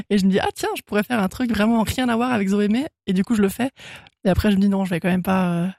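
Young woman with a gravelly edge. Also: medium pitch, fast, a little mellow, dry recording, studio quality. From Switzerland. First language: German. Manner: chatty, laughs often, many fillers and restarts. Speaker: guest singer-songwriter. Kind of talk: casual radio interview. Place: radio studio.

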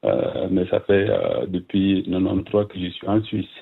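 Husky deep-voiced man on a phone line. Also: sing-song, normal speed. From Burkina Faso. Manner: hesitant, many fillers, soft laughs. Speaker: guest. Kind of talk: phone interview.

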